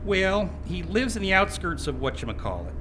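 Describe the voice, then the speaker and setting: A man's voice: high voice, big pitch swings, normal speed, fairly bright tone, faint music behind. An actor playing a chatty small-town male newspaper reporter, rambling, eager and cheerfully oblivious. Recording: noticeable background hiss.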